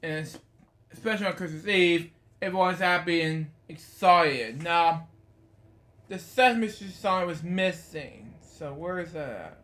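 Man, thirties, fairly high voice, very slow pace, halting read-aloud, rambling casual asides, bedroom recording. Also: fairly bright tone. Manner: halting, rambling, repeats stock phrases. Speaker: host reading picture books aloud. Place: small bedroom, indoors.

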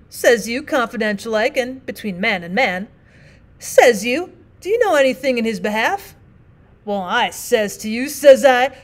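A woman voicing a rough, earnest middle-aged frontier miner with a rustic Old West drawl, rambling in folksy dialect. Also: on the high side, close to an everyday voice. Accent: put-on rustic Old West drawl.

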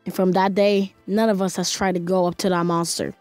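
A woman's voice: on the low side, little pitch movement, brisk, darkish tone, no room sound, faint music behind, close to an everyday voice. A woman voice acting a cautious, frightened spaceship survivor in an amateur game roleplay. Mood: somber.

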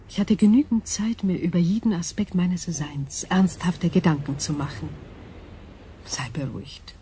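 A woman playing an ancient alien cosmic intelligence in a science fiction radio drama, calm, analytical and formal, with a measured, detached delivery. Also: fairly low pitch, slight background noise.

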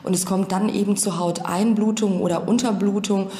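A woman's voice: mid-range voice, fairly even intonation, moderate pace, mellow. A woman speaking as a forensic medical expert, explaining in a clinical, formal manner with long technical sentences. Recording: roomy, echoing voice.

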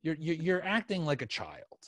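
Halting male podcast host, dry close sound. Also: a little high, huge pitch swings, dry recording. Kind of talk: casual conversational interview. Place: small home studio room, indoors. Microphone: close podcast microphone on a video call.

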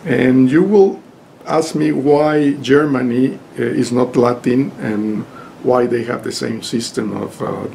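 An older man with a Mexican Spanish accent lectures slowly to a webcam in his book-lined study. His voice is gravelly, and he pauses often on fillers and restarts, with a faint room hum behind him. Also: medium pitch, warm tone, poor audio. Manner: halting, many fillers and restarts. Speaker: lawyer and lecturer. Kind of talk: informal spoken lecture to camera. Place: home study with bookshelves. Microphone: webcam at desk.

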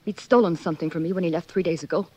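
A woman's voice: on the low side, steady tone, very fast, darkish tone, husky, no echo. An actress playing a frightened, proud young society woman, anxious and dramatic in 1940s radio style. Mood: grieving, guarded.

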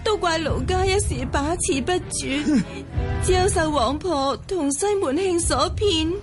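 Very high-pitched deceitful young wife. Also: female voice, unhurried. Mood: tearful pleading. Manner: pleading, insistent, feigned sweetness. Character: deceitful young wife, falsely tender, secretly murderous. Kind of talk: Cantonese radio drama acting. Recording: music behind.

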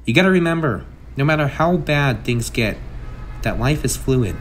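A man acts out a wisecracking masked comic book antihero, mid-pitched and theatrical. He is glib and brash, with a kind streak, and there is clear noise behind the voice. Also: lively intonation, normal speed, close to an everyday voice. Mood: sober, gentle. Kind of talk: comic book dub, character acting.

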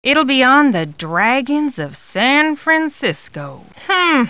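Gushing, high-pitched chatterbox photojournalist. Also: female voice, big pitch swings, slow, sharp tone, clear, resonant tone, no echo, heavily acted. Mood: chatty, informative. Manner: gabby, gushing, rambling. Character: chatty, warm, scatterbrained woman photojournalist, easily carried away. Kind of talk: video game character dialogue.

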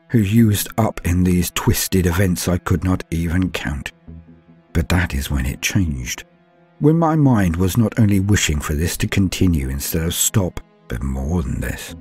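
Deep-voiced man narrating a grim horror tale. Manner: grave, measured first-person storytelling with hushed low drops and rising swells. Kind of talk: dramatic horror story reading. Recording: soft music bed underneath.

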